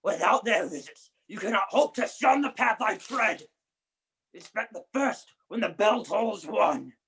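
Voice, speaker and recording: Tormented male ghost, radio drama, ominous, hoarse, high-pitched, gravelly, dramatic proclaiming. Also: animated, a little slow, pushed and bright, full character voice. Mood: solemn warning. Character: tormented male ghost of a dead business partner, ominous and wailing. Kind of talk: 1930s radio play acting.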